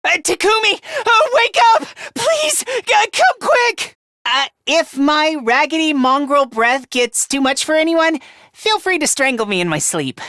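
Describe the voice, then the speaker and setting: A man's voice: very high-pitched, animated, normal speed, pushed and bright, no room sound, clean studio sound, exaggerated voice. A man voicing a timid, anxious, self-loathing young male student in an anime video game, with a thin voice and a stammering, whiny delivery. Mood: anxious pleading.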